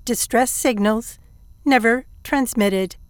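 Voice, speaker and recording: A woman playing a calm, dutiful ship computer in an audio drama, reporting in a measured, formal, emotionless way. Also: fairly high pitch, clean tone, no echo. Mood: impassive.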